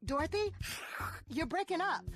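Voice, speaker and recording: A woman's voice: very high voice, big pitch swings, moderate pace, exaggerated voice. A woman playing a playful, surprised friend answering a phone call in a radio ad skit. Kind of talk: radio ad comedy dialogue.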